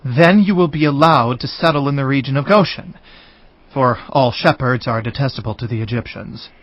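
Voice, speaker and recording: An actor playing an assured, commanding governor with deliberate delivery in a dramatized audiobook. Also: male voice, mid-range voice, no echo, muffled recording, natural voice. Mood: assured, instructive. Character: capable, commanding adult brother, governor of Egypt.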